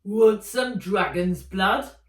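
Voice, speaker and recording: A woman voicing a gruff, sly, hunched old male goblin peddler in a children's storybook. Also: mid-range voice, takes it slowly, lightly acted. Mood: sly, hawking. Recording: roomy echo, distant microphone.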